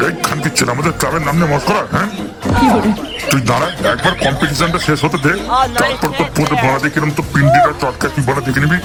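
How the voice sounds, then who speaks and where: Man playing a fast, bossy cartoon club boss. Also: on the high side, natural voice. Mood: angry, scornful. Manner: swearing, bossy, scornful. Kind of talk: Bengali comedy cartoon voice acting. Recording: music behind the voice.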